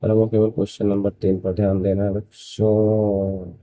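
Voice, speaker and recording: Male maths tutor, early 20s, very mellow dark voice, dry recording, repetitive question-and-answer explaining. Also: on the low side, level tone, moderate pace, no room sound. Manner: repeats phrases, asks and answers own questions. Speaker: maths teacher. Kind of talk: step-by-step school maths lesson.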